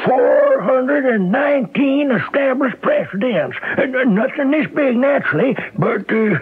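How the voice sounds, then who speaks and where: An actor playing a wily old jailbird and self-taught lawyer in a radio drama, rambling and cocky, with a quavery, cackling voice. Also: male voice, extremely high pitch, lively intonation, a little slow, slightly rough, full character voice. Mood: insistent, boastful. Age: older. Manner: rambling, repeating himself, folksy, cocky. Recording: tinny band-limited 1970s radio broadcast.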